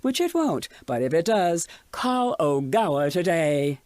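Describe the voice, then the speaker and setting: An actor playing a serene but eerily cheerful radio DJ with a deliberate, reassuring announcer delivery. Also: mid-range voice, big pitch swings, darkish tone, no room sound, clean studio sound. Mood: uncanny calm. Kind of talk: scripted fictional radio station patter.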